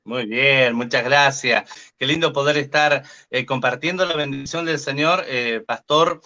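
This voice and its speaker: A man hosting a radio interview, eager and quick as he welcomes and questions his guest. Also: mid-range voice, sharp tone. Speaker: radio host. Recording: dull lo-fi sound.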